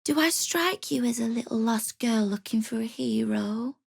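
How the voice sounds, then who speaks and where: A woman's voice: fairly high pitch, normal speed, vocal fry, slightly rough, dry recording, full character voice. An actress playing a shrewd, hard-edged female crime guild boss in a video game, with a dry, wry manner and veiled threats. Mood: sardonic. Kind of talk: scripted video game voice acting.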